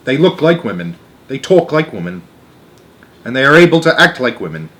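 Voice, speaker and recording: A man plays an old storybook grandmother, grave and knowing. The delivery is emphatic and matter-of-fact, relishing grim details, with lively rises and falls in pitch. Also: normal speed. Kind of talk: storybook read-aloud character.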